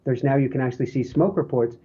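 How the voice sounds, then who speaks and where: A male podcast host with a hoarse voice, chatting casually and rambling with fillers and restarts. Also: mid-range voice, steady tone, quick pace, dark tone, muffled recording. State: hoarse, coughing from smoke.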